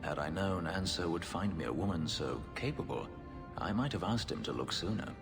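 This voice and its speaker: An actor playing a guarded, brooding warrior on the run in a video game, speaking tersely in formal, measured phrases. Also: male voice, expressive. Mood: softening, wry. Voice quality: very deep, gravelly. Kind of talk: dramatic video game dialogue. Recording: music underneath. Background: background music.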